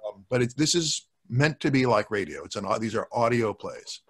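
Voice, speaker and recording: An older man speaking as a guest in a casual video-call chat, measured and thoughtful, into a desk microphone. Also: mid-range voice, animated, fairly bright tone. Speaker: guest, audio drama producer. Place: indoors in a home office, video call. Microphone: desk microphone with pop filter.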